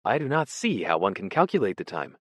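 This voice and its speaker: A man's voice: on the low side, big pitch swings, a little fast, no echo. A man voicing a nervous, pedantic male poet held as a prisoner, speaking vaguely and with fussy indignation. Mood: bewildered, pedantic.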